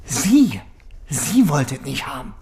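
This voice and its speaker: A cornered, defensive ex-con in a naturalistic radio drama. He answers in clipped, evasive fragments, and his mid-range voice swings widely in pitch. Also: big pitch swings, takes it slowly, darkish tone. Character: defensive ex-convict, bereaved father under police questioning.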